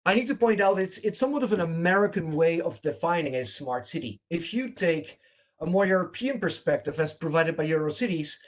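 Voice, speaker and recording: A man's voice: a little high, lively intonation, fairly quick. A man from the Netherlands with a Dutch accent, presenting an online training session over a video call in an earnest, emphatic way.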